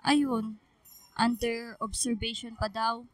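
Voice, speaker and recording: An actress with a Filipino accent playing an anxious, loving young daughter in a radio drama, earnest and pleading. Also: female voice, on the high side, level tone, slow pace, no echo. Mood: worried, subdued.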